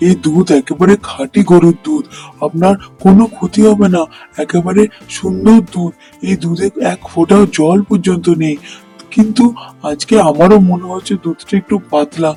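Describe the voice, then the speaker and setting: A man's voice: high voice, normal speed, mellow, soft background music. A man playing an honest, hardworking village milkman in a fairy-tale cartoon, speaking earnestly and pleadingly. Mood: apologetic, pleading.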